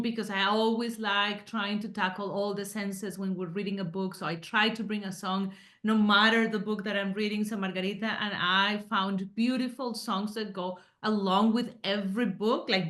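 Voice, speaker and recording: A woman's voice: mid-range voice, fairly bright tone. A woman from Medellín with a Colombian paisa accent, explaining things in an enthusiastic, conversational way as an author and teacher. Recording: roomy, echoey sound.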